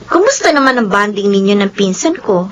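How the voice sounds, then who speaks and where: A woman's voice: mid-range voice, sing-song, fairly quick, muffled recording. A woman playing a teasing, inquisitive young country cousin in a Filipino radio drama. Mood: teasing, curious.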